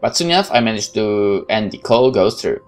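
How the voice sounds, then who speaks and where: A man with a fairly low voice narrates a visual novel as a let's player. He slips between reading and casual, wry commentary while game music plays faintly. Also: animated, brisk. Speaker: gaming YouTuber.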